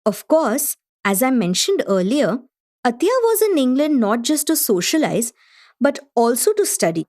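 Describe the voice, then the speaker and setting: A learned, self-educated Indian host of a literary gathering in a radio drama. She speaks in a fairly high voice, introducing and explaining with formal poise. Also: sing-song, moderate pace, darkish tone. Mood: poised, informative. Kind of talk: scripted narration in a radio play.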